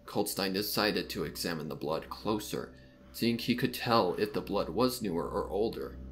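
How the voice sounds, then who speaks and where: Low-pitched, expressive male story narrator. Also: moderate pace, slight background noise. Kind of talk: dramatic scripted narration. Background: soft background music.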